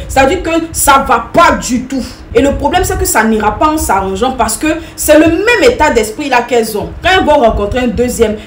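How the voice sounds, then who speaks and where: Woman in her 30s, emphatic advice vlogger, slightly high voice, roomy echo. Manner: emphatic, repetitive, addresses viewers directly. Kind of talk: direct-to-camera opinion talk. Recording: echoey room with faint noise.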